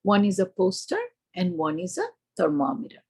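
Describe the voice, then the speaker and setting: A woman's voice: medium pitch, very animated, normal speed, mellow, husky. A woman with an Indian accent giving an instructional presentation to teachers, explaining step by step and posing questions that she answers herself. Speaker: teacher trainer.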